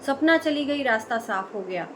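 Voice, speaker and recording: A woman's voice: a little high, expressive, normal speed, a bit bright. A woman from India giving opinionated vlog commentary in an emphatic way, pressing her points with rhetorical questions. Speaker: online commentator. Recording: noticeable background noise.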